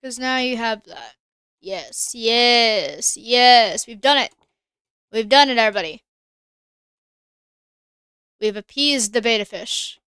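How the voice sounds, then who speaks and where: A woman's voice: fairly high pitch, animated, takes it slowly, long pauses, sharp tone, clear, resonant tone, no room sound. A woman streamer chatting casually while she plays a game, thinking aloud and answering her chat. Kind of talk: casual live-stream gameplay commentary.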